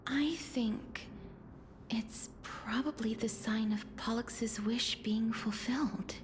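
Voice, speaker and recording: Soft, breathy, solemn young maiden. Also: female voice, fairly high pitch, noisy background. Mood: wistful. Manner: measured, formal, tender. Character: gentle solemn young woman, twin of a dragon of death. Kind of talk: video game story dialogue. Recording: background music under the voice. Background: game music.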